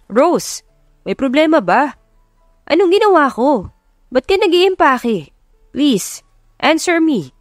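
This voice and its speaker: A woman's voice: high voice, sing-song, slow, long pauses, no room sound, studio quality, soft background music, voice pushed up, full character voice. A woman voicing a pleading, insistent boyfriend who pesters with demanding questions, in an audiobook romance drama. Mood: anxious, pleading. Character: pleading, insistent, cheating boyfriend, adult man.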